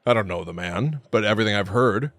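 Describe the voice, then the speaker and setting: A man's voice: lowish voice, expressive, quick pace, gravelly, fairly bright tone, dry recording. A man co-hosting a podcast, talking casually about film and acting out bits as he retells them. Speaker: podcast co-host. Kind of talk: casual film discussion chat.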